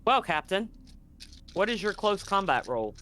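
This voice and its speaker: A man's voice: sits high, sing-song, unhurried, bright, forceful tone. A man speaking casually and with some swearing as game master of a tabletop role-playing game. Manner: casual, profane.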